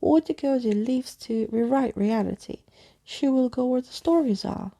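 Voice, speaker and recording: Woman voicing a pompous, gravelly old storybook skeleton man. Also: fairly high pitch, moderate pace, warm tone, pitched above the performer's own voice. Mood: confident. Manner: florid, grandiose wording with muddled words. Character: pompous, wordy old male living skeleton, absent-minded and unflappable.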